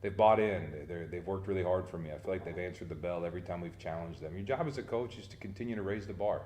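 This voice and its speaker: Fast-talking deep-voiced coach. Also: male voice, a little mellow, reverberant, a little hiss or hum. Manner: earnest, repeats words when starting sentences. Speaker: head baseball coach. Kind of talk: casual interview answers.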